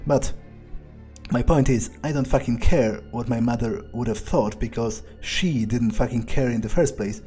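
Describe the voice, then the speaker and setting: A man's voice: mid-range voice, normal speed, warm tone, soft background music, natural voice. A man playing a cynical, foul-mouthed vampire in a video game, muttering impatiently as he thinks aloud. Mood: irritated, cynical. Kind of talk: in-character video game playthrough monologue.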